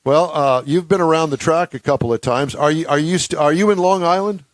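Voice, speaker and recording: A man's voice: on the high side, brisk, dry recording. A man hosting a radio talk show from a studio, folksy and joking, sometimes tripping over long introductions.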